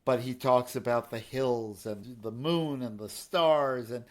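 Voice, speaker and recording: A man's voice: mid-range voice, unhurried. A middle-aged man from New York co-hosting a casual podcast, joking and playful as he talks about music. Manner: jokey, playful, breaks into humming. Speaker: podcast co-host, pianist and songwriter.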